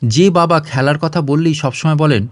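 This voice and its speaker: A man's voice: fairly high pitch, normal speed, dry recording, studio quality. A man reading a scripted short story as an audio narrator.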